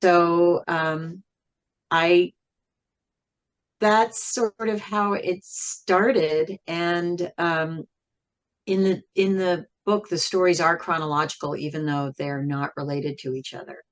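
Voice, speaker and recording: A woman talking reflectively as an interview guest, thoughtful and measured, with frequent pauses. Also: fairly low pitch, fairly even intonation. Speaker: interview guest, singer and writer.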